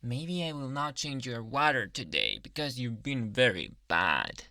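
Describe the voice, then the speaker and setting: A man voicing a possessive, obsessive yandere doctor in an anime ASMR boyfriend roleplay, speaking softly and coaxingly, often in whispers. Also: medium pitch, sing-song, unhurried, creaky, dry recording, studio quality, heavily acted. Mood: controlling, menacing. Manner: soft, intimate, coaxing, often dropping into whispers. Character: possessive, obsessive male yandere doctor, sweetly menacing lover. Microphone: close microphone.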